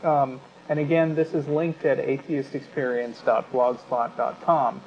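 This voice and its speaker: A man co-hosting a talk show, giving unscripted commentary in a halting, self-correcting, argumentative way. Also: medium pitch, steady tone, a little mellow. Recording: dull sound with clear background noise.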